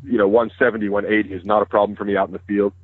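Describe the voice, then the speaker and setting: Man in his thirties, native Hebrew speaker, brisk and open, husky, phone-line sound. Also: lowish voice, level tone, no echo. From United States. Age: 30s. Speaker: interview guest, news correspondent. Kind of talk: phone interview conversation. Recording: dry, tinny phone line.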